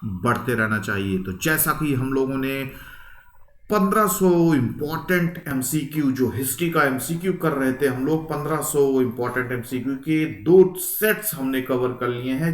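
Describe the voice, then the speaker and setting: A male teacher gives an informal lecture in a mid-pitched voice. He explains by repeating phrases and adding small check-in tags. The sound is clearly roomy, picked up a little away from the microphone. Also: a bit bright. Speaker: history teacher for competitive exams. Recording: echoey room, distant microphone.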